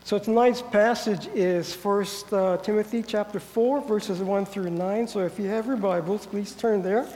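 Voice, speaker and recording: A man preaching a sermon while getting over a head cold, so he sounds nasal and stuffy, speaking carefully and earnestly and clearing his throat now and then. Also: high voice, fairly even intonation, unhurried, few pauses, darkish tone. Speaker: guest preacher. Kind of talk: sermon with Bible reading. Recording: long hall reverb.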